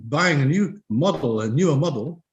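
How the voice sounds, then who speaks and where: A man asking a question haltingly with heavy fillers over a video call. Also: on the high side, expressive. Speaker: workshop attendee. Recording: roomy, dull lo-fi call audio.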